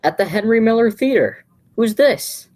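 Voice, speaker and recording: A woman's voice: on the high side, animated, normal speed, a little mellow, natural voice. A teenage girl playing an exasperated young man in an amateur radio play, theatrical and sardonic. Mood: incredulous.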